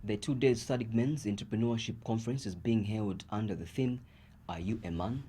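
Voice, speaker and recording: A man with a Zambian accent reads the news from a script. His voice sits on the low side, and there is a slight background noise on the recording. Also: normal speed, dark tone. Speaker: news reader.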